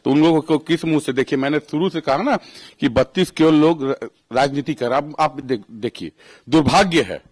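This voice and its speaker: High-pitched, combative Jharkhand man. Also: moderate pace. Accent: Jharkhand Hindi. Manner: indignant, combative, repeats phrases for emphasis. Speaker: panel guest giving his opinion. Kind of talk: heated political commentary.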